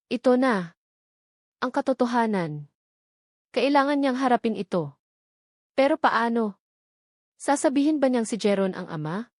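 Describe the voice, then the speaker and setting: A woman's voice: on the high side, a little mellow, no room sound, studio quality. A woman with a Filipino accent reading a scripted, dramatic romance story as an audiobook narrator.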